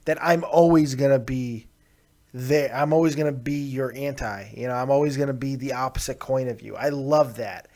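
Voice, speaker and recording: A man hosting a call-in show, joking crudely and teasing his callers with loud bursts of laughter. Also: medium pitch, moderate pace.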